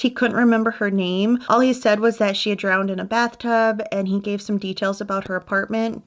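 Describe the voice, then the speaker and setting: Brief: female true crime narrator, mid-pitched, relaxed, chatty asides. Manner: conversational, with musing personal asides. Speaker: true crime channel host.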